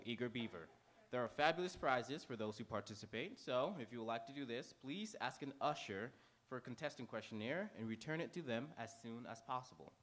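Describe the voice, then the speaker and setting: Male pre-show host, medium pitch, informal announcements to a live auditorium, unpolished and conversational delivery. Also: fairly bright tone. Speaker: host warming up a live theater audience. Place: on stage in an auditorium before a live audience.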